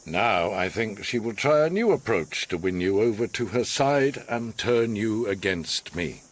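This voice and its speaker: Casting: eccentric, manipulative older gentleman, radio play; low, husky, swooping delivery, formal and commanding. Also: expressive. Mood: measured, knowing. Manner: measured, formal, commanding, theatrically teasing. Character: manipulative, eccentric, authoritative older male host, a self-styled psychiatrist. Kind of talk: radio drama acting.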